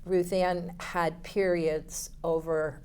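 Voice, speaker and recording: A woman's voice: fairly low pitch, flat delivery, slow pace, a little mellow, clean tone. Woman in her seventies telling stories. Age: 70s. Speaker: guest, runner. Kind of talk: casual podcast chat.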